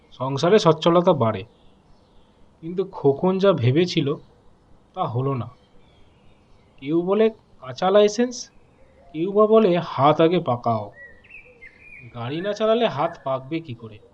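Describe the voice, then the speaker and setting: Higher-pitched man narrating a horror story. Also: a little mellow, highs cut off, a little hiss or hum. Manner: measured, pausing between short phrases.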